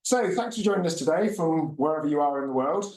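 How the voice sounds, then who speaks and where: A man's voice: a little high, expressive, fast, a little mellow, slight background noise. Man hosting a student panel webinar; friendly, filler-heavy delivery, echoey room, mic at a distance. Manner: friendly, chatty, many filler uhs. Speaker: host, university regional manager.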